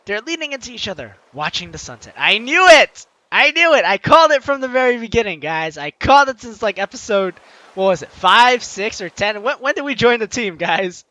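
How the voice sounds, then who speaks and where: A man with a high, very lively voice narrates a video game aloud as its host. His delivery is bright and forceful, slipping into playful, teasing commentary, with music playing underneath. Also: brisk, dry recording. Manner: playful, teasing, exclamatory, talks to the audience. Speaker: let's-play host. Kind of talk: reading game text aloud with excited commentary.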